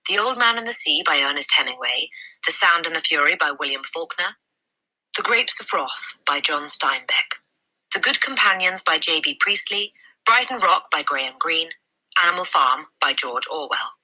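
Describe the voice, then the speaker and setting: A woman reading a children's story aloud as a teacher, with deliberate, expressive narration. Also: sits low, poor audio.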